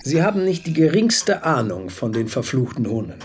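An actor playing an indignant British lord, a father appalled by his daughters, speaking bluntly and gravely in a raspy voice. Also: mid-range voice, big pitch swings, moderate pace, very noisy, lightly acted. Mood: bitter indignation.

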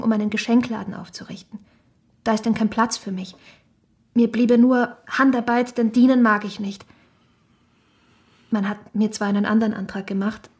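Actress as a frank, high-voiced young woman. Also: close to an everyday voice. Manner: blunt, earnest, admonishing. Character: frank, practical young shopkeeper's daughter, sternly caring. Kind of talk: German radio drama acting.

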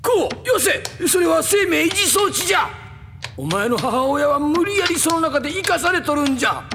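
A man playing an excitable, caring old man in an anime, using old-fashioned, emphatic elder speech. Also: very high-pitched, moderate pace, a little hiss or hum, heavily acted. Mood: urgent warning. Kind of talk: anime voice acting. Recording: long hall reverb, loud music behind.

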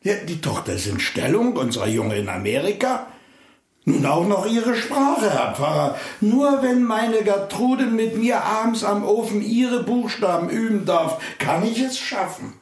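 An actor playing a humble, hesitant farmer in a radio play, talking haltingly. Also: male voice, high-pitched, sing-song, roomy sound, heavily acted. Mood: earnest. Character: humble male farmer, hesitant, plain-spoken.

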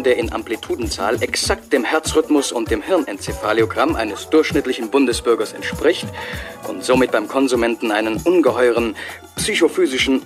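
A man's voice: medium pitch, close to an everyday voice. Man acting a smug pop star over music. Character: smug male pop superstar, blasé about synthetic music. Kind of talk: satirical radio play acting. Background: loud music under the voice.

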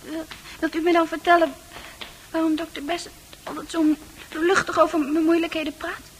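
A woman's voice: very high-pitched, level tone, normal speed, background noise. A woman playing a bewildered young psychiatric patient in a radio drama, anguished and stammering as she repeats herself. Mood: confused, hesitant.

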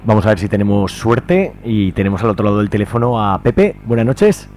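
A man's voice: lowish voice, very animated, brisk, background noise. A man with an accent from Spain presenting casually as a live radio host. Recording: music playing behind. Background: music.

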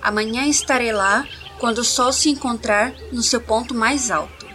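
A woman's voice: on the high side, normal speed, a bit bright, a little hiss or hum, lightly acted. A woman with a Brazilian accent voicing a curious, earnest ten-year-old village boy in an audio story, eager and direct. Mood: curious, respectful. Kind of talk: scripted audio story acting.

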